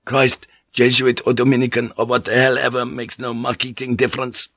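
A man's voice: medium pitch, completely flat delivery, a little fast, a bit bright, dry recording, clean studio sound, voice pushed up. A man voicing a coarse, uneasy sailor in an audiobook story, blunt and exclamatory. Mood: angry.

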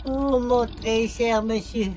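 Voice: medium pitch, steady tone, slow, darkish tone, a little hiss or hum, close to an everyday voice. An actor playing a hapless, weary churchgoer who speaks in a formal, plaintive, theatrical way in a radio comedy. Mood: relieved, weary. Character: hapless, weary, pious churchgoer with formal old-fashioned speech. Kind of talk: old-time radio comedy acting.

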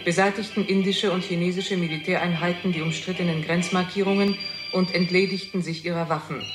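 An actor playing a formal correspondent reading dispatches in a 1960s radio play. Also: male voice, high voice, steady tone, brisk, lightly acted. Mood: urgent formal. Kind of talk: 1960s radio news bulletin acting. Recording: echoey, loud music behind. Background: music.

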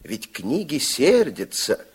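A male narrator tells a fairy tale for vintage radio. His husky, mid-pitched voice moves with lively intonation and a wry theatrical touch. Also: takes it slowly. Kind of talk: expressive scripted storytelling in 1960s radio play.

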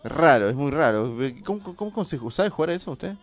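A man's voice: on the high side, big pitch swings, faint music behind. A man with an Argentine accent hosting a casual radio show, giving football results and bantering with his co-host. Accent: Argentine Spanish. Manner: quick, bantering, amused.

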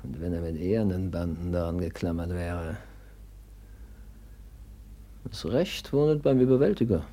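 An actor playing a scheming, envious younger son in a classical radio play, theatrical and declamatory, with an oily show of devotion. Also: male voice, low voice, moderate pace, long pauses, mellow. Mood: cold, bitter scheming. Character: scheming, envious younger son, falsely pious, coldly ambitious.

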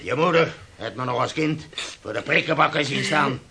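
An actor playing an older, grey-haired shipowner in a radio play, pompous, scolding and used to command. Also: male voice, medium pitch, normal speed, vocal fry, sharp tone. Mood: reproachful. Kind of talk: theatrical radio play acting. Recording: older radio drama recording.

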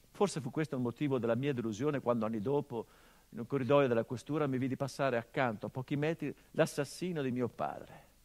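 A middle-aged actor playing a grieving son whose father was murdered, speaking a theatrical monologue in a measured, grave, introspective way. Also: mid-range voice, darkish tone, natural voice.

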